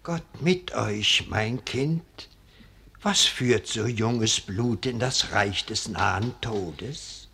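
A man's voice: lowish voice, vocal fry, bright, forceful tone, slightly rough, full character voice. An actor playing an ancient, pious abbot in a 1940s radio play, speaking in solemn, fatherly, archaic phrases. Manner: slow, solemn, archaic clerical speech. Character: ancient, pious abbot, hundred years old, grave and fatherly.

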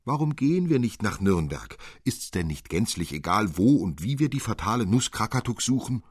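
A man's voice: fairly low pitch, big pitch swings, normal speed, slightly rough, no room sound, clean studio sound, pitched above the performer's own voice. A man voicing a tearful court astronomer from a fairy tale, speaking in formal, exclamatory questions. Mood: plaintive, urging.

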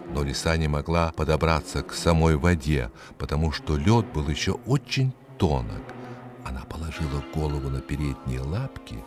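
A male storyteller with a low voice gives a dramatic, scripted fairy-tale narration. He takes his time over the words with lively swings in pitch, over faint music. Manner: dramatic, measured, savouring words.

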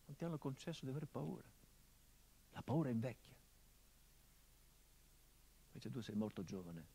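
Middle-aged son, bitter and sardonic, mid-range voice, hushed murmurs breaking into impassioned stage outbursts. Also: male voice, mid-range voice, big pitch swings, moderate pace, creaky, slightly rough, exaggerated voice. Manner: sardonic, biting. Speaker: stage actor. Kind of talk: theatrical monologue.

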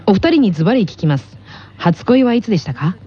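Husky, quick-talking woman radio host. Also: mid-range voice, expressive, dull audio. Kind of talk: casual radio hosting.

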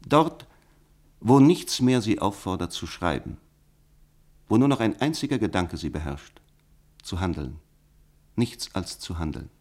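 An actor in a German radio play playing a resolute philosopher of direct action, lecturing in an emphatic, rhetorical manner. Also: male voice, deep voice, sing-song, a little slow, pauses often, full character voice. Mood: insistent, fervent.

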